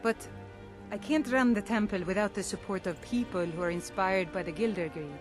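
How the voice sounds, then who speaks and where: An actress playing a devout temple priestess in a fantasy game, speaking in an earnest, measured, formal way. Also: female voice, medium pitch, music in the background, close to an everyday voice. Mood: concerned, doubtful. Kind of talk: scripted fantasy game dialogue.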